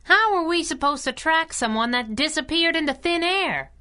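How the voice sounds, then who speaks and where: An actress playing a young, sassy, wisecracking masked sidekick in a pulp adventure radio drama. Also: female voice, high-pitched, expressive, moderate pace, bright, forceful tone. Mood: plucky, snappy. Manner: sassy, snappy. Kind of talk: radio drama acting in 1930s pulp style.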